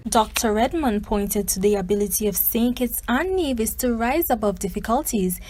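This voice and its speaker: A woman's voice: on the high side, moderate pace, darkish tone, low-quality recording. A woman reading a scripted news report as a reporter.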